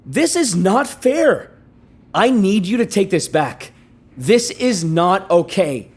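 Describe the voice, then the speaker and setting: A man's voice: high-pitched, big pitch swings, takes it slowly, a little mellow, slightly rough, heavily acted. An actor playing an indignant, rattled young male grad student who protests with exasperation in a naturalistic audio drama. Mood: indignant, upset.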